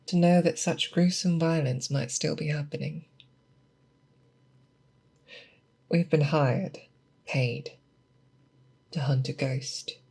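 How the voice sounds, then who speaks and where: A woman playing a seasoned, grave and protective female monster hunter in a slow, hushed, breathy ASMR roleplay, close to the microphone. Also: low voice, fairly even intonation, heavily acted. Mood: grave, determined. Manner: slow, deliberate, hushed, with pauses. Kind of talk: ASMR roleplay, close soft speech and whispers. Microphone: close binaural microphone.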